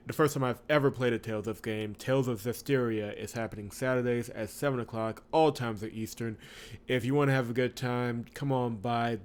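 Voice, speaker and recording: A man hosting a visual novel playthrough, chatting casually and reading the story aloud in an expressive way with wry asides. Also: medium pitch. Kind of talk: casual let's-play commentary with read-aloud narration.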